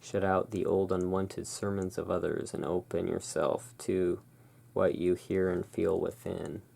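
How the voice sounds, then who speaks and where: A person playing the soft-spoken, musing owner of a gothic curiosity emporium in a halting, hushed ASMR roleplay. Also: sits low, level tone, creaky, heavily acted. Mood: solemn reading.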